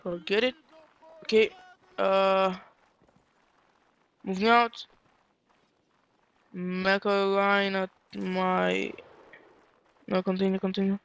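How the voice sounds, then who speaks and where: High voiced guy yelling charge orders in game chat, excitable. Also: clean tone, muffled recording. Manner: barks quick commands, excitable. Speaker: line battle officer giving orders.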